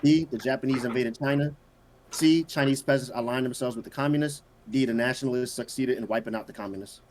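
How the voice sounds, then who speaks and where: A man hosting a casual quiz with friends, reading out questions and answer options at an unhurried pace. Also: medium pitch, darkish tone, slight background noise, poor audio. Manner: reads answer options slowly, teases players. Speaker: quiz host.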